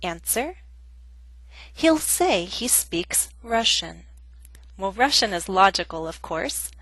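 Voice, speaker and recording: A woman teaching an English grammar lesson in a clear, measured, scripted way. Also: mid-range voice, big pitch swings, fairly bright tone, dry recording.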